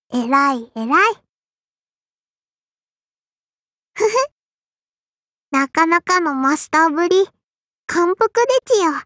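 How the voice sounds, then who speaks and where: A woman's voice: high-pitched, fairly bright tone, no room sound, clean studio sound. A woman voicing a small, strict but kindly and dutiful sparrow girl for an anime game, in a childlike lisping voice with formal, cutesy phrasing. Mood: proud, approving. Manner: formal yet cutesy, chirping verbal tics. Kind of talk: Japanese anime game character lines.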